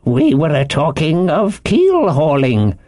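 A man voicing a sheepish, slow-witted old sailor in an audiobook, hesitant and deferential. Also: a little high, wildly swooping pitch, a little mellow, dry recording, studio quality, voice pushed up, exaggerated voice. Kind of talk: audiobook character voice.

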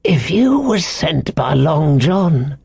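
A man's voice: on the high side, sing-song, slow pace, gravelly, a little mellow, breathy edge, dry recording, studio quality, pitched above the performer's own voice, exaggerated voice. A man voicing a marooned, half-crazed sailor for an audiobook, childishly sly and pious, wheedling and rambling in rustic seafaring dialect. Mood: fearful, suspicious. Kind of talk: audiobook character voice.

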